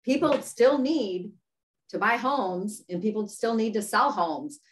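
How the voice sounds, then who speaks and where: A woman with a slightly squeaky voice, chatting candidly and upbeat about real estate work in a casual livestream. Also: lively intonation, unhurried. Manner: candid and upbeat, laughs at herself. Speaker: real estate broker support staff. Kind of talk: casual chat.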